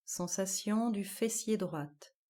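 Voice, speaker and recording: A woman guiding a yoga nidra relaxation, giving calm, measured instructions in short, spaced-out phrases. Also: mid-range voice. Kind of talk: guided relaxation meditation.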